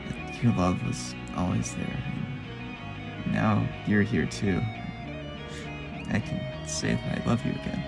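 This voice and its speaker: Bereaved, devout widow, male actor: gravelly, faltering, desperate delivery for horror audio drama, heavy music underneath. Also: on the low side, full character voice. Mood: tender, tearful. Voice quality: creaky. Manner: halting, stammering. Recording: loud music over the voice.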